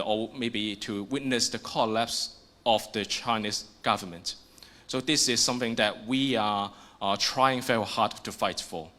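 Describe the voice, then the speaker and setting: A man's voice: lowish voice, bright, forceful tone. A young man from Hong Kong, a Cantonese speaker with a Hong Kong accent, speaking earnestly on a panel, with many "uh" fillers and restarts. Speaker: panelist, exiled activist. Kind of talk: panel discussion remarks.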